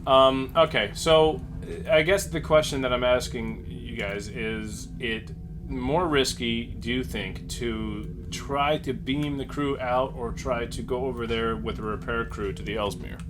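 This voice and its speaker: A man's voice: medium pitch, a little slow. A man playing a starship captain in a casual tabletop game, thinking aloud hesitantly and jokily. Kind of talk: casual tabletop roleplay chat. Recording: noticeable background noise.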